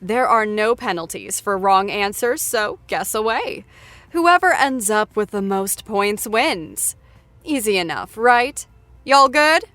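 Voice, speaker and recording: A woman's voice: mid-range voice, lively intonation, slow, a bit bright, no echo. A woman hosting a playful trivia game show in a bubbly, upbeat manner. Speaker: show host.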